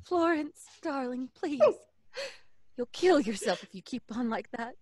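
Anguished, high-voiced young woman, radio horror. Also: lively intonation, measured pace, darkish tone, slight background noise. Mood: guilt-ridden, tearful. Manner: dramatic, pleading, tearful. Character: anguished guilt-ridden young woman, self-sacrificing, darkly resolute. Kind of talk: old-time radio horror acting. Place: home recording, live remote video call.

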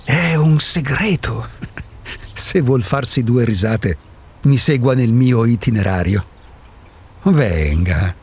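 A man's voice: medium pitch, expressive, slow, pauses often, darkish tone, slightly rough. Actor as a swooping, wry old ghost man. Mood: wry amusement. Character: elderly reclusive male ghost, wry, contrarian philosopher. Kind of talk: radio drama dialogue.

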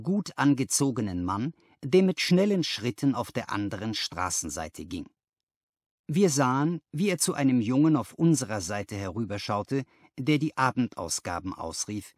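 A man reads literary fiction as an audiobook narrator. His storytelling is expressive and carefully phrased, with a lively, wide-ranging pitch at a medium register. The sound is dry. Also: no echo. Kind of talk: scripted dramatic literary narration.

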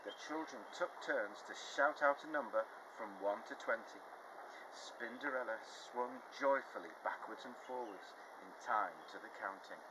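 A man in his fifties reading a children's picture book aloud, phrasing it deliberately and expressively. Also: mid-range voice. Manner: deliberate, clearly phrased storytelling for young children. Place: outdoors in a leafy garden. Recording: outdoor ambient noise behind.

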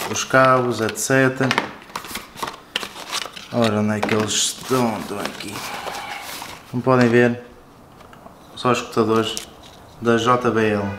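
A man's voice: mid-range voice, noisy background. A man with a European Portuguese accent gives a casual, informal, explanatory product review and walkthrough. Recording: roomy echo with music behind. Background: background music.

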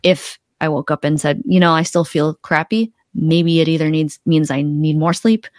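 A woman's voice: deep voice, level tone, normal speed, a little mellow, no echo, clean studio sound. A woman in her thirties, a coach being interviewed on a podcast, explaining casually step by step. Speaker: podcast guest, accountability coach. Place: room at home, video call. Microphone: close desktop podcast mic.

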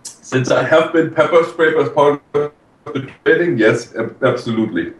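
A man talking haltingly and casually while suffering from mouth-burning heat, recorded at home on a video call. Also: fairly high pitch. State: suffering from burning hot pepper. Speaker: challenge host recovering from a hot pepper. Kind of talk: casual chat. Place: living room at home. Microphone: distant mic on a video call. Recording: echoey, noisy room heard from far off.

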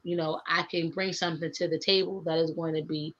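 A woman's voice: sits low, little pitch movement, a little fast, fairly bright tone. A middle-aged woman, a rap artist, chatting earnestly and reflectively on a video call from a home studio. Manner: earnest, reflective, laughs often. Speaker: rapper guest. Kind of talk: casual video call chat. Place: home studio room with framed records. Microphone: webcam on video call. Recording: dull lo-fi video call audio.

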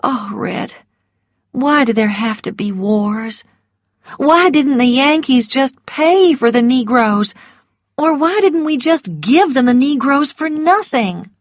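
An impulsive, passionate young lady from a storybook, as a woman narrator plays her. Her voice sits high and spills over with emotion, in a close, dry recording. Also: takes it slowly, breathy edge, dry recording, heavily acted. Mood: bitter, pleading. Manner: exclamatory, emotional.

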